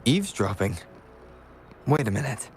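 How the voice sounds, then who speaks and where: A man playing a calm, wry young adventurer in a video game. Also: medium pitch, normal speed, a little hiss or hum, close to an everyday voice.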